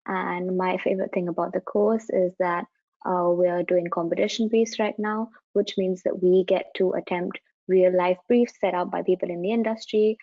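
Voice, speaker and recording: A woman's voice: fairly low pitch, fairly even intonation, normal speed, no room sound. A woman from Mumbai in India, a graphic branding student, introducing herself on a webinar with a few hesitant fillers. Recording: dry lo-fi video call audio.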